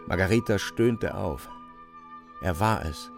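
Male narrator for literary radio drama: low register, creaky edge, animated delivery, dry studio sound. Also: takes it slowly, soft background music.

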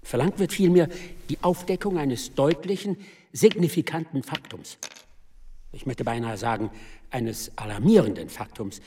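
An actor playing a weary, fussy embassy official in a radio drama, speaking in formal bureaucratic phrases with a husky voice. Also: male voice, mid-range voice, moderate pace, dark tone, far from the mic, slight background noise, lightly acted. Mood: dissatisfied, insistent. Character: weary, fussy senior male embassy official, dissatisfied and insistent. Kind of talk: German radio drama acting.

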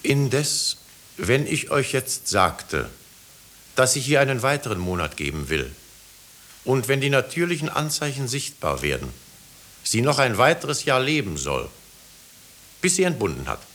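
An actor playing a stern, unbending judge in a 1950s radio drama, speaking formally and with authority. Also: male voice, mid-range voice, very animated, a little slow, pauses often, a bit bright. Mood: probing, cool. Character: stern, unbending male judge of Puritan Salem. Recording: hissy old radio drama recording.

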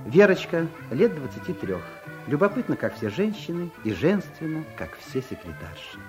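Playful guy introducing a radio play cast, animated, a bit high, music under. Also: moderate pace. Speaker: narrator. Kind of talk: wry radio-play narration.

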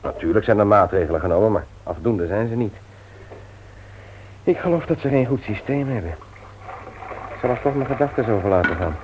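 A man's voice: lowish voice, lively intonation, a little fast, pauses often, natural voice. An actor playing a teasing, forgetful husband and father in a naturalistic radio drama, speaking in a hesitant, conversational way. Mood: pondering. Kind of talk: naturalistic Dutch radio play acting. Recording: noisy old radio play recording, clicks.

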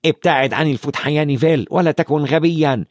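A man's voice: fairly high pitch, fast, no echo, clean studio sound, pitched above the performer's own voice, natural voice. A man voicing an impatient, hot-headed schoolboy who speaks bluntly and irritably. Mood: irritated.

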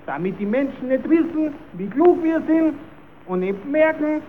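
Casting: cheeky puppet clown, stammering tale-spinner with very lively pitch swings, thin hissy old recording. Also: measured pace. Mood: explanatory. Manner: stammering, repeating himself, improvising tall tales. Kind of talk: German radio play acting for children. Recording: tinny, narrowband, hissy old radio recording.

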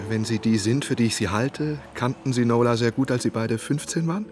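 An actor playing an inquisitive, persistent novelist turned investigator, narrating and questioning matter-of-factly in a German radio drama. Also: male voice, mid-range voice, fairly quick, lightly acted. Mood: probing. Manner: probing, matter-of-fact. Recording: clear background ambience noise.

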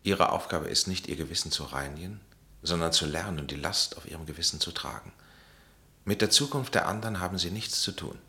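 An actor in a German radio play as a grave, authoritative psychiatrist, speaking in measured, formal pronouncements. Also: male voice, deep voice, lively intonation, sharp tone, exaggerated voice. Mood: solemn. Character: grave, authoritative male psychiatrist, calm and oracular.

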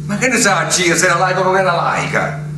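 A man playing a worn-out, grumbling tramp who answers in short, sulky mutters, his voice slightly high. He is heard from afar on a theatre stage, with a roomy echo and background noise. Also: fairly even intonation, brisk, lightly acted. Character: tired grumpy male tramp with a sore foot. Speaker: stage actor. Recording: distant echoey stage recording.

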